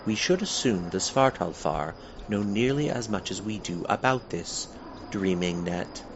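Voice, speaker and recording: Casting: male actor as didactic lore guide, low voice with expressive swings, scripted narration, dull noisy sound. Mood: measured, instructive. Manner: measured, didactic. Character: measured in-world guide addressing fae readers, drily instructive.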